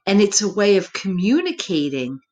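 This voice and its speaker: A woman storyteller reading a picture book to children, speaking simply and expressively, with gentle questioning asides to young listeners. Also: medium pitch, sing-song, normal speed.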